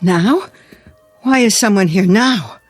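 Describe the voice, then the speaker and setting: An actress playing a worried middle-aged wife and mother in a radio drama, anxious and halting. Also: mid-range voice, soft background music, lightly acted. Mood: fearful.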